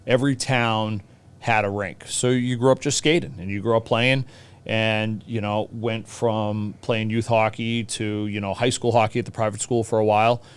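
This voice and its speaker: Clear-voiced man with a Boston accent. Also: fairly low pitch, flat delivery, moderate pace. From Boston, USA. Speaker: teacher and hockey coach, podcast guest. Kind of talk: casual interview chat.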